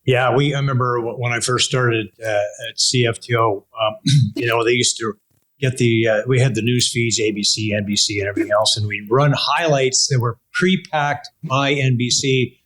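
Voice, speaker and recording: Older male interview host, mid-pitched voice, casual and meandering delivery. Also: fairly even intonation, moderate pace, a bit bright, low-quality recording.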